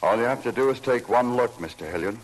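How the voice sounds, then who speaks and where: A man's voice: medium pitch, fast, background noise, lightly acted. An actor playing a terse, sardonic big-city detective who narrates his case in a vintage radio crime drama. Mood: quietly reassuring. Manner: clipped, dry, sarcastic. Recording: old radio recording with music.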